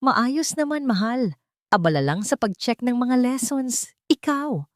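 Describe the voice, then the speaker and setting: A woman's voice: on the high side, expressive, a little slow, dry recording, studio quality, voice pushed up. A woman voicing a secretive young wife who feigns sweetness and polite concern in a story. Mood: sweet. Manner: polite, outwardly caring.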